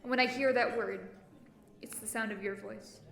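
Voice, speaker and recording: A woman's voice: a little high, fairly even intonation, normal speed, vocal fry, a bit bright, reverberant. Woman acting a wounded, bitterly defiant woman. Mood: brooding. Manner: brooding, emphatic. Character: wounded, defiant woman nursing an old insult. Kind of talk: intense dramatic monologue. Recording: music bed behind voice.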